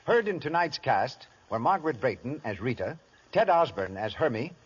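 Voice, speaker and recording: An American man announcing on 1930s radio, reading out scripted credits in a slightly rough voice. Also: mid-range voice, sing-song, normal speed, noisy background. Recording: muffled 1930s radio recording.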